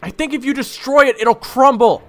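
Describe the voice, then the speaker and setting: A man playing an urgent young soldier who yells in a fantasy audio drama. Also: very high voice, normal speed. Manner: urgent, yelling.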